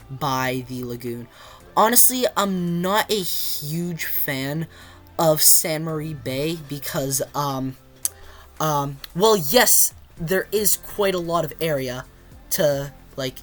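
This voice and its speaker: Woman gamer, stuffy-nosed and a bit nasal, low-ish voice, unhurried hesitant delivery over faint music. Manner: hesitant, many ums and restarts. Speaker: gameplay video creator. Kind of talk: casual gameplay commentary. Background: faint background music.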